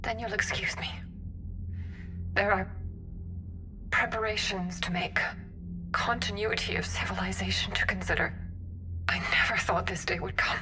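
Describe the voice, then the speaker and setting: A woman's voice: mid-range voice, noisy background, lightly acted. Woman acting a formal, dignified alien stateswoman. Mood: solemn, resigned. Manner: formal, measured. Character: dignified female alien councilor, stunned and formal. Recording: music behind the voice.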